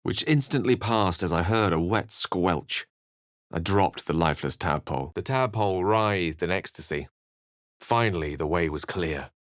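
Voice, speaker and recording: Low, solemn fantasy game storyteller. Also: male voice, expressive, no echo, clean studio sound. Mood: ominous. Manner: measured, dramatic storytelling. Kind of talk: scripted fantasy game narration.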